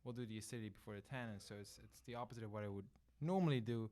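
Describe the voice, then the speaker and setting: A man's voice: on the low side, racing, dark tone. A middle-aged man from the southern Netherlands, a Dutch speaker talking English, explaining at length as an interview guest with fillers and restarts. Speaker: winery managing director, guest. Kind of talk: conversational interview.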